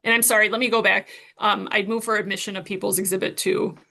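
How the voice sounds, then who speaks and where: Woman, prosecuting attorney, bright mid-pitched voice, formal procedural courtroom questioning. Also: steady tone, fast, gravelly.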